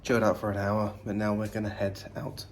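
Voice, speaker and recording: A man's voice: on the low side, completely flat delivery, brisk, a little hiss or hum. A man talking casually and chattily as a travel vlogger. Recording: clear music behind the voice.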